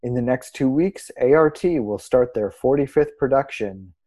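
Earnest local radio man played by an actor, soft mellow tone, formal delivery, deadpan comedy presenting. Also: mid-range voice, normal speed, close to an everyday voice. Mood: earnest, announcing. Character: earnest, measured small-town arts radio co-host. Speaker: radio co-host. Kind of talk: scripted mock-serious radio presenting.

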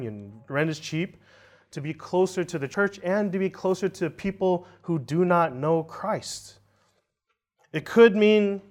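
A man's voice: on the high side, darkish tone. A man in his thirties preaching a sermon in a conversational way, tagging lines with "right?" and restarting phrases to repeat a point.

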